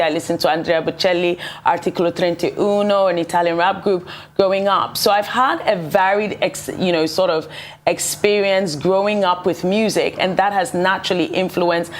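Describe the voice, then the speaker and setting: Articulate Ghanaian-born woman, low-pitched. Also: fairly even intonation, moderate pace, a little hiss or hum. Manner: articulate, fluent with filler "you know". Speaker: musician guest. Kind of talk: interview answers. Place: television studio.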